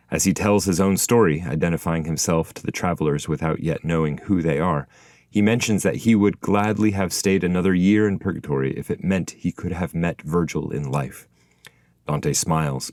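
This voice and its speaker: Man, low-pitched voice, dry close sound, scripted literary narration, epic poetry reading. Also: a little mellow, no echo.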